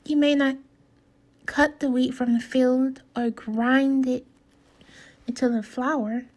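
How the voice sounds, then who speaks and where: Slow, high-voiced woman reading to children. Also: flat delivery, darkish tone. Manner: deliberate, instructive delivery with questions to listeners. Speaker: teacher reading aloud to children. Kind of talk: slow storybook read-aloud and lesson for kids.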